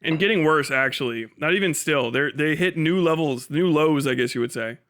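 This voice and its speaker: A man with a fairly high, clear voice hosts a livestream in loose, chatty fashion. His delivery is bright and forward, peppered with swearing and dry, dismissive sarcasm. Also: a little fast. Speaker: livestream host. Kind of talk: casual livestream chat.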